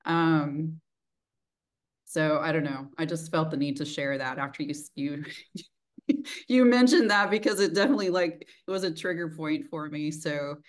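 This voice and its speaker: Middle aged lady w a light texas accent, chatting informally on a video call, kinda thinking out loud. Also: deep voice, normal speed. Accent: mild Texan. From rural Texas, United States. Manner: reflective, informal, frequent "like". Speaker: interviewer, host. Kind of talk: casual chat. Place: small indoor room, video call. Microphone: video call, desk distance.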